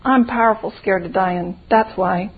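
A woman's voice: medium pitch, moderate pace, breathy edge, narrow, tinny audio, lightly acted. A woman voicing a frail, frightened little boy in a storybook tale, plaintive and speaking folksy old-time country dialect. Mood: doubtful, fearful.

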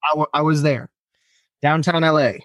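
A man's voice: fairly high pitch, steady tone, moderate pace, a bit bright, no echo, clean studio sound. A man from Finland, a native Finnish speaker, telling a long personal story on a podcast, acting out quoted lines and laughing often. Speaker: podcast guest. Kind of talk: casual storytelling interview.

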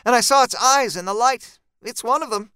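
Casting: tough woman monster hunter for an audiobook, raised pitch, clipped and insistent, performed by a male narrator. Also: moderate pace, bright, forceful tone, clean tone, dry recording. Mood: tense.

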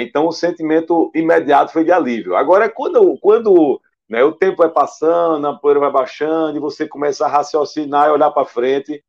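A hoarse, nearly voiceless man co-hosting a live podcast, wry and self-deprecating. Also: a little high, darkish tone, lo-fi sound. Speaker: podcast co-host. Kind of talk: casual live-stream chat.

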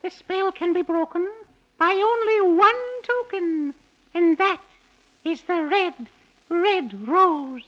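A woman's voice: very high voice, slow. A woman playing a gleeful, wicked old witch who cackles and rhymes in a 1930s children's radio serial. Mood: gloating, gleeful. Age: older. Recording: muffled 1930s radio recording.

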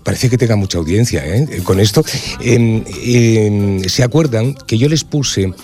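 A man's voice: lowish voice, measured pace, mellow. A man hosting a casual radio interview, chatty and hesitant, with lots of fillers. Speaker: radio host.

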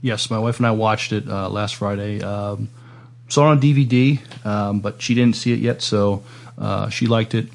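Gravelly guy co-hosting, kinda low voice, casual chat. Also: normal speed. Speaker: podcast co-host.

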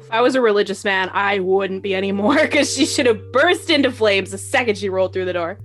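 A woman's voice: mid-range voice, a little fast, soft background music. A woman narrating with brash, sarcastic comedic asides. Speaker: narrator and wisecracking commentator.

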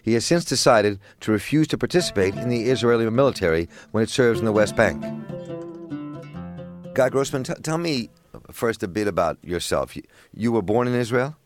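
A man hosting a radio interview series, asking measured, slightly halting questions. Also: mid-range voice, lively intonation, over music. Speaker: interviewer and host.

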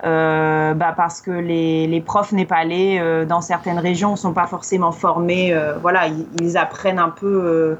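A woman's voice: lowish voice, level tone, unhurried, hardly any pauses, highs cut off, slight background noise. A woman from northern France with a light northern French accent, speaking casually as a guest, with frequent hesitations and restarts. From Lille, northern France. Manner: hesitant, many fillers and restarts. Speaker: charity volunteer guest. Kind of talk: casual podcast interview. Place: lives in Melbourne.

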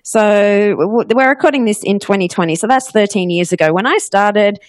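A woman's voice: medium pitch, normal speed, no room sound, studio quality. A woman in her forties with an Australian accent, chatting as a guest in an upbeat, talkative way. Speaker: podcast agency head, guest. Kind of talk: conversational interview.

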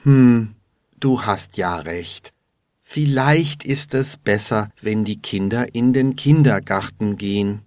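A man's voice: fairly low pitch, slow, a little mellow, dry recording, studio quality. A man as a stubborn husband in a scripted dialogue. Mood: giving in. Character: stubborn husband arguing with his wife.

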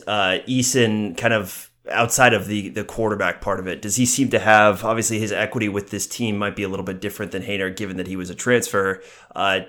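Lower-voiced American man hosting. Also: a bit bright. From Seattle, United States. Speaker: podcast host and interviewer. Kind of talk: conversational sports interview.

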